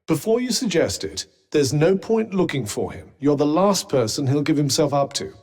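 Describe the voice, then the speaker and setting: A man's voice: fairly high pitch, very animated, normal speed, darkish tone. A man with a British accent voicing a haughty, sardonic magical cuff in a video game, dry and pompous in manner. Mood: dry, wry. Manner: dry, pompous, sarcastic, theatrically exasperated. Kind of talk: scripted game character lines.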